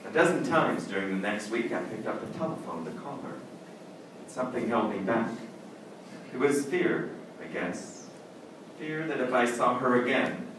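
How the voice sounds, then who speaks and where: Male actor as lovestruck middle-aged judge, radio drama. Distant, reverberant, low register, formal confessional delivery. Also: noisy background, lightly acted. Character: infatuated middle-aged married judge, wistful and evasive. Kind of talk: old-time radio drama acting and first-person narration. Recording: echoey, far-off stage recording with music.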